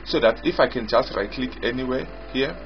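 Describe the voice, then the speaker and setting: A man giving an unscripted software walkthrough as its developer, explaining haltingly and repetitively in short phrases. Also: mid-range voice. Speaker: software developer demonstrating own app. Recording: dull lo-fi audio with loud music. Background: loud music.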